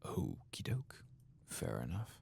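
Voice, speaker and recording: Soft whispery gamer guy doing asmr, super low gravelly voice, murmuring along as he plays. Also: huge pitch swings, takes it slowly. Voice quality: soft, breathy, often dropping to whisper. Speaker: video game streamer. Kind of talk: soft-spoken ASMR gameplay commentary.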